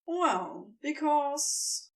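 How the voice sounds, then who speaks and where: A woman reads fiction aloud as narrator, tender and expressive. Her highish voice moves slowly with very lively intonation, picked up from slightly away from the microphone. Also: far from the mic.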